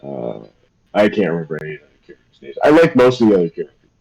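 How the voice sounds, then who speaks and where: Low, rambling man chatting on stream. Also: wildly swooping pitch, racing, gravelly, a little mellow, slightly rough, poor audio. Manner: rambling, hesitant, self-deprecating. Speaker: streamer and artist. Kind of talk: casual chat on a live stream.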